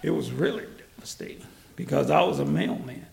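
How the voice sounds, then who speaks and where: An older man with a gravelly, strained voice, telling his story in an animated, laughing way. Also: a little high, a little fast, roomy sound. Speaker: interviewee, museum founder. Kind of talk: storytelling interview. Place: his museum, indoors.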